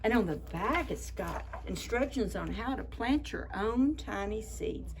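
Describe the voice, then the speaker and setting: A woman hosting children's story time, reading picture books expressively with drawn-out words and chatty asides. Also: mid-range voice, animated, creaky, slight background noise. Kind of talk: animated picture book read-aloud for preschoolers.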